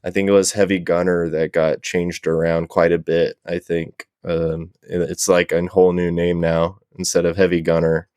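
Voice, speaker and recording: A man with a low, smooth voice talks casually as a guest on a panel. His delivery stays flat and level, easygoing and a bit tentative, with a dry close sound. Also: moderate pace, few pauses, dry recording. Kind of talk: casual chat.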